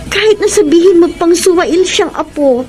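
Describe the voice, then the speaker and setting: An actress playing an anguished, guilt-ridden young escort in a Filipino radio drama, pleading emotionally with a Filipino accent. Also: female voice, very high-pitched, moderate pace, darkish tone. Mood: pleading, reproachful. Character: anguished young escort woman confessing betrayal to the man she loves. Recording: dramatic music behind the voice.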